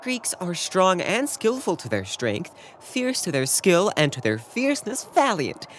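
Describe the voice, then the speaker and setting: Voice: medium pitch, huge pitch swings, measured pace, slight background noise. A young performer playing a lovesick, despairing Trojan prince in a Shakespearean audio drama, impassioned and given to sighing declamation. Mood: bitter, self-pitying. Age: young adult.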